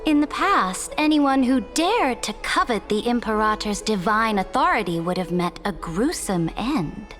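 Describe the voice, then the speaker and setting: High, slow, imperious game empress. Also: female voice, animated, a bit bright, faint music behind. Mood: amused, menacing. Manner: lofty, formal, commanding, condescending. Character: haughty, imperious empress, regal lawgiver and conqueror. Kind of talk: theatrical video game acting.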